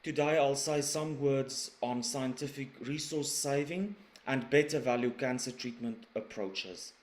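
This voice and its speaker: South African man giving a formal talk. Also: medium pitch. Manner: measured, formal delivery. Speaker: radiation oncologist presenter. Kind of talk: scripted presentation. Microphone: webinar recording.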